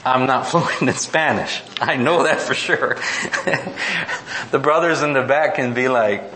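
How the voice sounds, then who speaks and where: Male preacher, self-deprecating and rambling, mid-pitched voice, roomy hall sound with faint background noise. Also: a little slow, sharp tone. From born in the United States, raised in Mexico. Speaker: missionary preacher. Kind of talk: informal report to a church congregation. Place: church meeting room.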